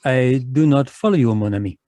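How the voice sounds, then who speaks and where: An actor playing a fussy, courtly Belgian detective, in a live radio drama over a video call. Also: male voice, mid-range voice, brisk, dark tone, dry recording, close to an everyday voice. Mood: smug, courtly. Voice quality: precise, courtly French-inflected delivery. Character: fussy male Belgian detective, polite and sly.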